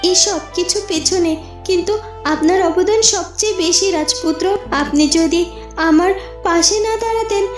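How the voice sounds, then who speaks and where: A woman plays a young cartoon princess. Her voice is extremely high, gentle and sweetly affectionate. There is roomy echo and faint music around it. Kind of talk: cartoon romance acting.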